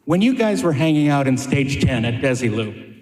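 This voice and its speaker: A man's voice: medium pitch, sing-song, normal speed, darkish tone. A man co-hosting a live panel, bantering with guests and asking them questions. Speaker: panel host, interviewer. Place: large indoor hall, live panel event. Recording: very echoey hall, long reverb.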